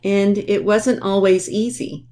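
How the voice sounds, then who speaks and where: A woman's voice: medium pitch, fairly even intonation, slow pace, mellow. A woman presenting a children's library storytime, explaining things simply and addressing young listeners directly as she reads aloud. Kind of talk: reading a picture book aloud to children.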